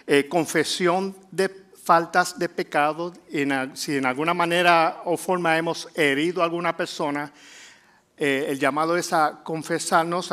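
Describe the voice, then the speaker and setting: A middle-aged man from Puerto Rico with a Puerto Rican Spanish accent, preaching a sermon in a conversational way with frequent filler pauses. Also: a little high, fairly bright tone. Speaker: pastor.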